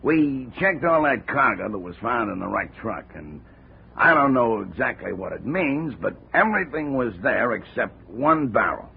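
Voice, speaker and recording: Gravelly, folksy American informant. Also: male voice, medium pitch, sing-song, normal speed, exaggerated voice. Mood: puzzled, informative. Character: friendly, helpful male cargo claims informant. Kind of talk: 1950s radio acting. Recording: tinny, noisy old radio recording.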